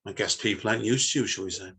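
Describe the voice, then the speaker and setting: Male reader as everyday man, low husky voice, laid-back, coolly helpful, storybook dialogue. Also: fast, bright, forceful tone, full character voice. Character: casual ordinary man, outwardly helpful, coolly treacherous.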